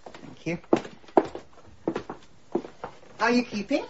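An actress playing a shrewd middle-aged amateur sleuth in a radio drama, with a polite, tactful and proper way of talking. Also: female voice, on the high side, sing-song, fast talker, dull audio, background noise. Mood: sympathetic.

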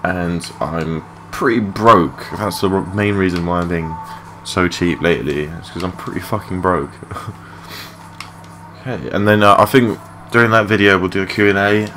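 A young man with a low voice giving rambling, matey, sweary gameplay commentary in a casual unscripted way. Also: steady tone, moderate pace, sharp tone. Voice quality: low-pitched voice. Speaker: gaming video creator. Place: his room at home. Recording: clearly noisy home mic recording.

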